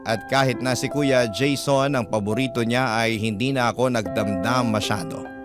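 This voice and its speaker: A man reads a dramatic first-person letter as a radio storyteller. His middle-pitched voice is earnest and sorrowful, with loud music playing behind it. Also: moderate pace. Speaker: storyteller host reading a listener's letter.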